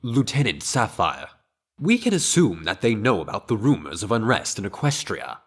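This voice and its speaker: A man's voice: lowish voice, sing-song, slightly rough. A man playing a dignified senior royal chamberlain pony, formal and reproving, in a fan-made radio drama. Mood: stern. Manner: formal, measured diction, reproving.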